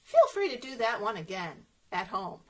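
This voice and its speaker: A woman's voice: mid-range voice, very animated, moderate pace, a bit bright, rough recording. A woman leading an interactive story time as a children's librarian, encouraging and sing-song, often putting questions straight to young listeners.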